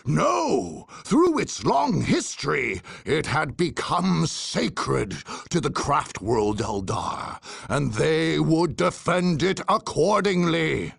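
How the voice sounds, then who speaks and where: A man's voice: a little high, big pitch swings, slow, gravelly, husky, no echo, clean studio sound. A man reading scripted dramatic narration for a video game in a grave, portentous manner.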